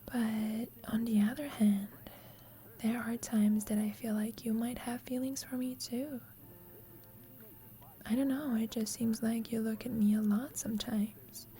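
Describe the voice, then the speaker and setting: A soft, breathy whisper from a shy young female student played by a woman, lovestruck and insecure. She speaks slowly and hesitantly, with a mellow, tender tone, as in an intimate ASMR roleplay confession to a roommate. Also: a little high, steady tone, lightly acted. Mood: shy, uncertain. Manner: hesitant, slow, confiding.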